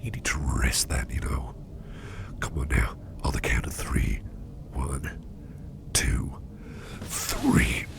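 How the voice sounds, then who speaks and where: A man's voice: extremely low pitch, steady tone, slow pace, gravelly, long pauses, exaggerated voice. A man playing a caring, protective businessman boss in an intimate ASMR roleplay, whispering breathily and soothingly, often repeating his reassurances. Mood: soothing, concerned. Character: caring, protective male boss, gentlemanly businessman, soft-spoken and doting.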